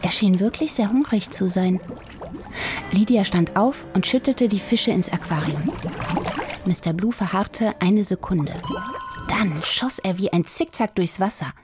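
A woman's voice: medium pitch, a little slow. Woman narrating a children's story, music behind. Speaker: audiobook narrator.

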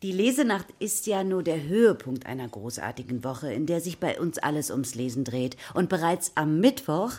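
Patient schoolteacher, slightly husky. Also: female voice, sits low, lively intonation, normal speed, few pauses, a little mellow. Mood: patiently firm. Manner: addresses a class, patient, slightly formal. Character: patient, encouraging woman schoolteacher keeping order. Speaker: primary school teacher. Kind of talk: naturalistic radio play acting.

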